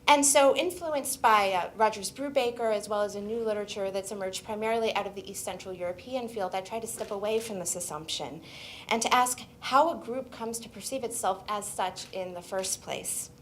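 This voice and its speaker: A woman historian presenting her book at an academic seminar, speaking in a measured, scholarly way with occasional restarts. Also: mid-range voice, slight background noise, poor audio. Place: seminar room.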